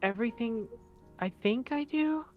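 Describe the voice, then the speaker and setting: A player voicing a gentle, naive orphaned teenage boy in an earnest, innocent way. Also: mid-range voice, normal speed, phone-quality sound, faint music behind, voice pushed up, natural voice.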